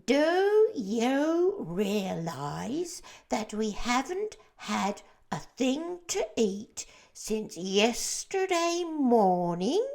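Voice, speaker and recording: A woman's voice: on the high side, sing-song, creaky, a little mellow, pitched above the performer's own voice, exaggerated voice. A woman doing a gloomy blind earthworm. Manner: slow, drawn-out and doom-laden. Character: gloomy blind male earthworm, dramatic and complaining.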